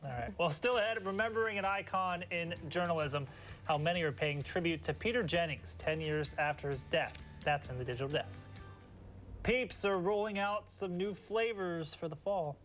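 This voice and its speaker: Woman, American accent, news co-anchor, slightly low pitch, casual delivery, light music bed. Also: normal speed. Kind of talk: casual co-anchor banter and teasers.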